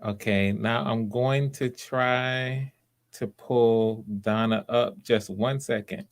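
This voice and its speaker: A man's voice: medium pitch, fairly even intonation, takes it slowly. A man hosting live auditions casually, coaching in an encouraging way and often repeating his words. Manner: encouraging, hesitant repetitions. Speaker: audition host.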